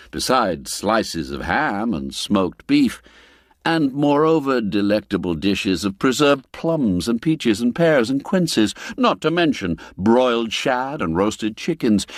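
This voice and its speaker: A man narrating a classic literary tale as a storyteller, theatrical and expressive, savoring each phrase with deliberate care. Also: mid-range voice, dry recording, studio quality.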